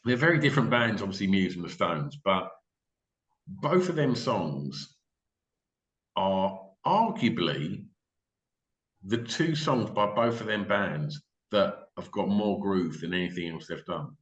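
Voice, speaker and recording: A man's voice: deep voice, sing-song, unhurried, highs cut off. A man with an English accent hosting a podcast, chatting in an enthusiastic, informal way. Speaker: podcast host. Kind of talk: casual interview chat. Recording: echoey room sound.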